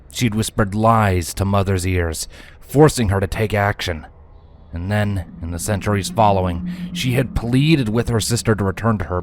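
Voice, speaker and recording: A man narrates a fantasy story in a low-pitched voice. His reading is scripted and dramatic, with a solemn, deliberate delivery.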